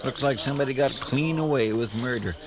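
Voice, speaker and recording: An actor playing a loyal, deferential sidekick to a frontier marshal, with a folksy in a 1950s radio drama. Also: male voice, mid-range voice, brisk, close to an everyday voice. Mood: folksy, puzzled. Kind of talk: 1950s radio western acting. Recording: vintage radio recording, crowd murmur behind.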